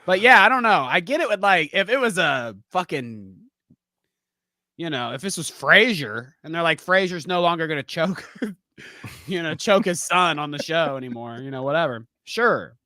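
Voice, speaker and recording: A man's voice: a little high, lively intonation, normal speed, sharp tone, dry recording, clean studio sound. A man co-hosting a casual streaming podcast who laughs often and swears freely. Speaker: podcast co-host. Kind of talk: casual chat.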